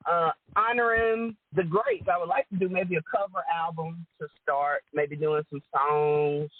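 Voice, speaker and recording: A woman with a deep alto voice, chatting casually as a guest and laughing often. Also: dry recording. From Rome, Georgia, US. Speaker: guest singer and entertainer. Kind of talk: casual chat. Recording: dry phone-line call audio.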